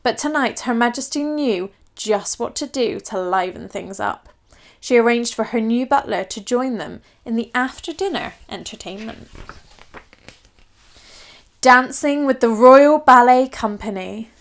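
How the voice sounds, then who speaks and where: A woman storyteller reading a children's picture book aloud in an expressive, scripted style. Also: fairly high pitch, unhurried.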